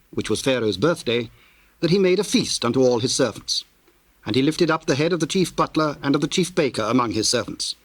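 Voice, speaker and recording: A male narrator with a British accent reads scripture as solemn, measured storytelling. His voice sits at a medium pitch and is recorded close and dry, with formal archaic phrasing. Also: dry recording.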